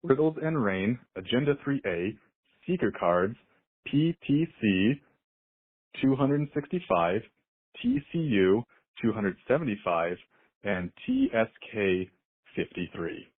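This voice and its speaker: Slow, husky man on a tinny phone line. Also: on the low side, sing-song, dry recording. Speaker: hotline caller. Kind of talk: reading out a list on voicemail.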